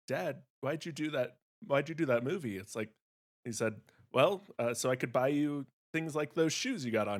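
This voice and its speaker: Regular guy voice, mid pitch, joking around on a panel podcast. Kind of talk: casual comedic panel chat.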